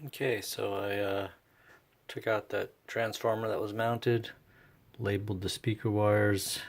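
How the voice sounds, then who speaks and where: Deep, gravelly-voiced man thinking aloud. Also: level tone, measured pace, slight background noise. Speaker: host of a radio repair vlog. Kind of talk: casual unscripted workbench narration.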